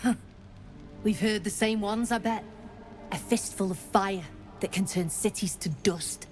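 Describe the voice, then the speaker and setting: A woman's voice: medium pitch, unhurried, faint music behind, lightly acted. A woman playing a defiant, foul-mouthed gnome freedom fighter in a video game, blunt, combative and cynical. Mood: urgent bargaining.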